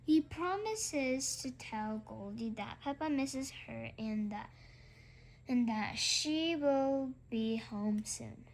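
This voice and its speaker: A woman's voice: fairly high pitch, animated, takes it slowly, gravelly, a little hiss or hum. A woman reading a children's story aloud as a narrator. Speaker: storybook reader.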